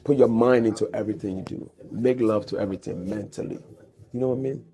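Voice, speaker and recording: Low mellow-voiced man riffing loosely. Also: quick pace. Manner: loose, jokey, rambling. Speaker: stand-up comedian. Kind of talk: casual riff played as a show clip.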